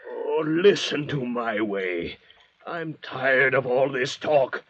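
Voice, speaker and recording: An actor playing a threatening, suspicious and hot-tempered convict in an old-time radio drama, with theatrical delivery. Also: male voice, medium pitch, takes it slowly, a bit bright. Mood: menacing. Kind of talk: 1940s-50s radio drama acting. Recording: dull, hazy old radio recording.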